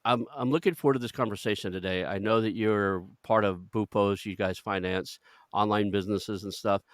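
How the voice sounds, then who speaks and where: A man's voice: lowish voice, steady tone, a little fast, no room sound, clean studio sound. A man hosting a business podcast, chatting casually and in a self-deprecating, rambling way. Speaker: podcast host. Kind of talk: casual interview chat.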